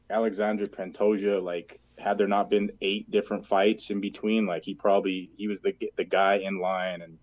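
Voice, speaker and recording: A man's voice: deep voice, a little mellow. A man co-hosting a fight podcast, talking in loose, rambling sentences full of fillers. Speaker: podcast co-host. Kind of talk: casual podcast chat.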